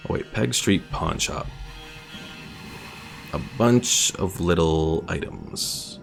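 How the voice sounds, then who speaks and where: A man's voice: sits low, lively intonation, takes it slowly, creaky. A man giving casual commentary on a game as a streamer, thinking aloud as he plays. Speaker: gaming streamer. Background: faint game music.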